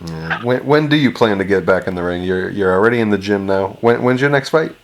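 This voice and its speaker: A man's voice: on the low side, animated, brisk. A man interviewing a boxer in a casual, friendly and admiring way. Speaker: boxing interviewer.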